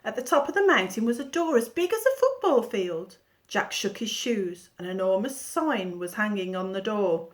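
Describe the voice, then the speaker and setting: A woman teacher reading a children's storybook aloud in an expressive storytelling manner. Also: mid-range voice, moderate pace.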